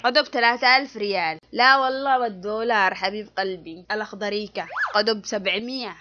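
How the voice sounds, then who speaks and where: A woman's voice: mid-range voice, quick pace, highs cut off, background noise, close to an everyday voice. A woman playing an aggrieved, hard-up Yemeni housewife in a comic cartoon, complaining and exclaiming in an Adeni accent. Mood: alarmed, sarcastic. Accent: Adeni Yemeni Arabic. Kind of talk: comic cartoon skit dialogue.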